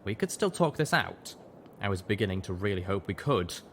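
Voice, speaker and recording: Low-voiced man narrating a story. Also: very animated, fairly quick, a little hiss or hum. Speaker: audiobook reader, show narrator. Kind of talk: scripted first-person story narration.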